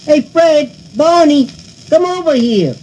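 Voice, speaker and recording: A man's voice: very high voice, expressive, slow, darkish tone, a little hiss or hum, exaggerated voice, rough recording. A man voicing a silly, naive male pilot sidekick in a cartoon, giddy and sing-song, mixing up his words.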